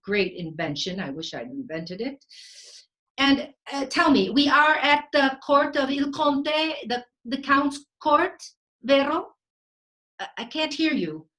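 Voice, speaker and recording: A woman playing a vain, playful Renaissance genius in a theatrical comic monologue, with florid delivery, dramatic pauses and sprinkled Italian words. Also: sits high, expressive, pushed and bright, full character voice, poor audio. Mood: playful, courtly. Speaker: stage actor.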